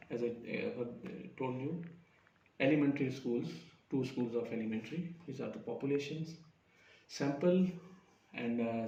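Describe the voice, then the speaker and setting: A man presenting his research findings, halting often with fillers and correcting himself mid-phrase. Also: medium pitch, mellow, a little hiss or hum. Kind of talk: academic presentation. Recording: roomy, distant, dull lo-fi sound.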